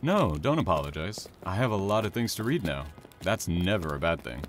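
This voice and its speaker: A low-pitched young man played by an actor, calm and courteous with a quiet intensity. His delivery is understated and natural, in an audio drama with faint ambient noise. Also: fairly quick. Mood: friendly, easygoing.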